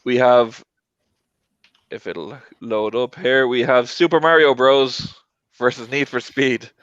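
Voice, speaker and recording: A man's voice: mid-range voice, fairly even intonation, slow pace, fairly bright tone, clean tone, no echo, highs cut off. A man hosting a casual panel chat over an online call. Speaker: host of a film tournament show. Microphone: online group call.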